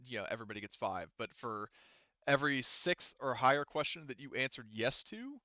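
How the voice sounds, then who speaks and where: A man's voice: medium pitch, animated, a little fast, bright, forceful tone, no room sound, muffled recording. A man running a tabletop game as game master, chatting casually and animatedly with some offhand swearing.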